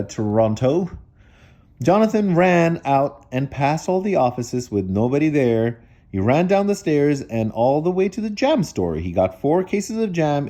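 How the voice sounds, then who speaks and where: Animated, mellow-voiced man reading a storybook. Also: a little high. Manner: wry, digressive commentary. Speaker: storybook reader. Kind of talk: animated read-aloud with casual asides to children.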